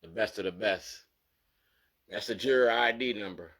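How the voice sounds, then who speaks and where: A man's voice: fairly low pitch, moderate pace, fairly bright tone. A man, an activist talking straight to the camera as he goes through his documents, insistent and repeating "you feel me?" to drive each point home. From Baltimore, USA. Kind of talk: direct-to-camera monologue going through documents.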